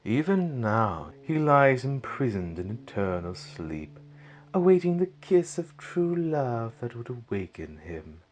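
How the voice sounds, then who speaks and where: Evil sorcerer, smug and mocking, theatrical, widely swooping intonation, unhurried, audio drama. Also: male voice, mid-range voice, very animated, slight background noise. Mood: gloating. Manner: taunting, theatrical, drawn-out delivery with sinister chuckles. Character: gloating, mocking wicked male sorcerer villain. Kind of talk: fan audio drama acting.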